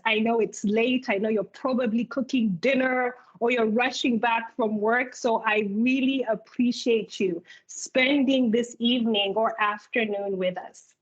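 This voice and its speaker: Woman in her thirties from Uganda, fairly high voice, structured presenter. Lo-fi call audio. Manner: clear, organized presenter, speaking in lists. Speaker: keynote speaker and product manager. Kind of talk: presentation at a virtual hiring event. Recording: muffled video call audio.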